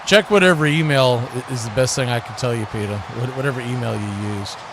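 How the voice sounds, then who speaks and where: A man's voice: medium pitch, fairly quick. A man hosting a live football watch party, switching between play-by-play and casual chat. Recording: noisy feed with steady background noise.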